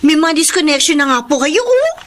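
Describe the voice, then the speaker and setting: A woman playing an anxious, excitable young niece in a Filipino radio drama, exclaiming and pleading. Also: sits high, brisk, a little hiss or hum. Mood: nervous.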